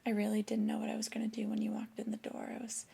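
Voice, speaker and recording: A woman playing a flirty, disapproving female boss in a soft-spoken ASMR roleplay, breathy and half-whispered, with a gentle, hesitant, intimate delivery. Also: fairly high pitch, monotone, fast, noisy background. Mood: reluctant, stern. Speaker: ASMR performer.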